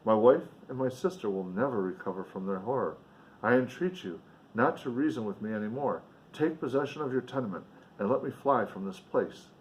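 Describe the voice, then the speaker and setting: A man's voice: medium pitch, animated, brisk, a little mellow, slight background noise, close to an everyday voice, poor audio. Man reading a distressed young cottager. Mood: anguished, resolute. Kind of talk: dramatic book reading.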